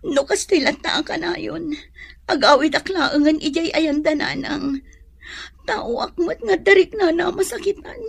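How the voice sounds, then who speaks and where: A woman's voice: sits high, measured pace, vocal fry, dry recording. A woman with an Ilocano accent acting in a radio drama as a meek, troubled young pregnant wife, hesitant and plaintive. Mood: tearful, plaintive.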